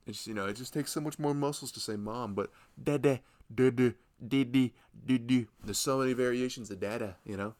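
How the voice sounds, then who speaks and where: A man playing a loving, nervous young husband and father-to-be in an intimate, rambling, soft-spoken ASMR roleplay. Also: natural voice. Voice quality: low, gravelly voice. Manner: rambling, soft-spoken, chuckling, often drifting toward a murmur.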